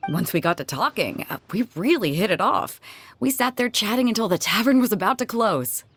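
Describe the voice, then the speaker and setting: A woman's voice: mid-range voice, animated, fairly quick, slight background noise, close to an everyday voice. An actress playing a breezy, self-assured female sea captain with a casual, easygoing manner in a video game cutscene. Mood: easygoing, rueful. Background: background music.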